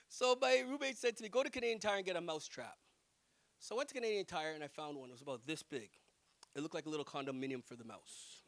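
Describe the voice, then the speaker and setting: A young man performing a stand-up comedy set at a wedding reception on a handheld mic, telling animated stories and laughing at his own jokes. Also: high-pitched, dry recording. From Jamaica. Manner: animated storytelling with self-amused laughs.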